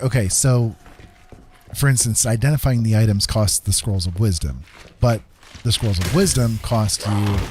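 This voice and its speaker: Low-voiced man chatting over game music. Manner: rambling, thinking aloud, repeating phrases. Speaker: game streamer. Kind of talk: casual gaming livestream chat.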